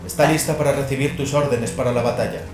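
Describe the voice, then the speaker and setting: A man's voice: mid-range voice, steady tone, fast, echoey room, music in the background, voice pushed up, natural voice. A man voicing an obedient tech adept in an audio drama, formal and matter-of-fact. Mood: clinical.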